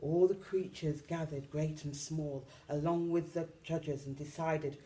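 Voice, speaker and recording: Slow-paced, mellow woman reading a children's story. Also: low voice, steady tone, a little hiss or hum, low-quality recording. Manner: dramatic, deliberate phrasing for young listeners. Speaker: storytime reader. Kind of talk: expressive children's picture book reading.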